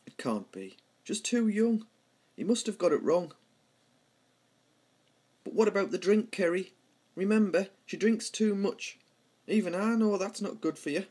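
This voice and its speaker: A man with a fairly high voice reads stage dialogue as an amateur. His accent is North East English pitmatic, from around Houghton-le-Spring. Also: animated, a little slow, pauses often, a little mellow, slightly rough. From north-east England. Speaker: amateur script reader. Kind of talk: dramatic dialogue read-through.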